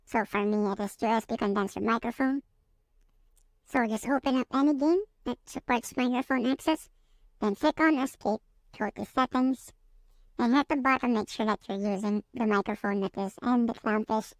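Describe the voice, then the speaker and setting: A man using a pitched-up voice changer to sound female while hosting a casual step-by-step software tutorial. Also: normal speed, dry recording, poor audio. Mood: matter-of-fact. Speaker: tutorial host. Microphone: USB condenser microphone, close.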